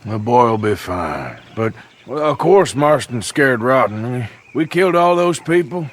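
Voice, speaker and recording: An actor playing a gruff, weary outlaw gunman in a video game drama. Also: medium pitch, big pitch swings, measured pace. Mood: weary, troubled.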